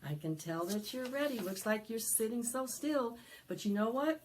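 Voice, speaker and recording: A woman presenting storytime for young children, singing and reading aloud in an animated, sing-song way that coaxes them to join in. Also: a little high, moderate pace, slight background noise. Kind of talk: interactive preschool storytime, singing and read-aloud.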